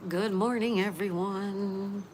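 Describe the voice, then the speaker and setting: A woman's voice: medium pitch, fairly even intonation, slow, a little mellow, slight background noise. A woman in her sixties hosting a casual livestream, chattily reading out comments and greeting viewers. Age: 60s. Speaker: livestream host.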